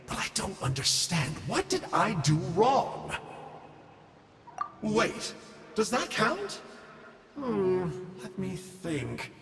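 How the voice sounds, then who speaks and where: An actor playing a sly, lazy abyssal scholar mage in a video game, flippant and self-deprecating in a theatrical drawl. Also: male voice, high voice, wildly swooping pitch, slow pace, gravelly, long pauses, fairly bright tone, a little hiss or hum, exaggerated voice. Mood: mock regret. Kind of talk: video game character acting. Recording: long echoing reverb, music behind.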